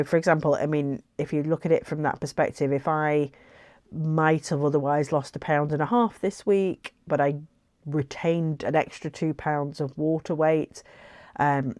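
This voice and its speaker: A woman's voice: sits low, fairly even intonation, moderate pace, darkish tone, dry recording. A woman talking casually to camera as a weight-loss vlogger, chatty and reflective with frequent ums and light laughs.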